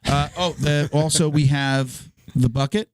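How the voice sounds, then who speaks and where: Male podcast host, lower-middle casual delivery, slightly hoarse, halting sponsor read, dry close sound. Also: steady tone, no echo. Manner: halting, restarts his read. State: hoarse, losing his voice.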